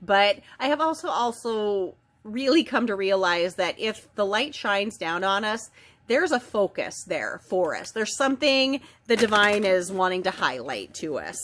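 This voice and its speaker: A woman reads oracle cards in a relaxed, chatty way, full of ums and small restarts. She is stuffed up from a cold, so her voice comes out a little nasal. Her pitch sits in the middle. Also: unhurried. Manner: chatty, gentle, with frequent ums and self-corrections. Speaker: oracle card reader. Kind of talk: casual spiritual card reading.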